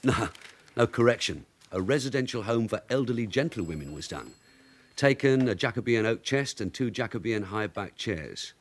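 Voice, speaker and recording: An actor playing a shrewd veteran detective sergeant, wry, businesslike and commanding, in a radio drama. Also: male voice, on the low side, expressive, normal speed. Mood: wry, weary. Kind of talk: British radio drama acting.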